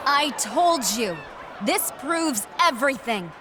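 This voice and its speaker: A woman's voice: high voice, expressive, slow, a crowd in the background, noisy background. A woman playing an exasperated female pro wrestler in a video game cutscene.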